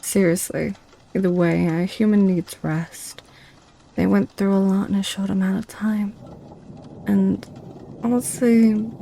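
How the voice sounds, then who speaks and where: A woman playing a playful, seductive succubus in an ASMR roleplay, with a breathy, whispery, coaxing delivery. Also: medium pitch, warm tone, a little hiss or hum, natural voice, low-quality recording. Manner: teasing, coaxing, slow. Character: playful, teasing female succubus, seductive and possessive.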